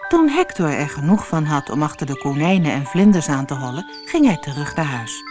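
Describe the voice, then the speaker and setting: Woman, children's audiobook narrator, low pitch, animated intonation, clearly phrased, music. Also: fairly quick. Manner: expressive, clearly phrased storytelling. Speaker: storyteller reading a children's audiobook. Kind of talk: scripted fairy-tale narration for children.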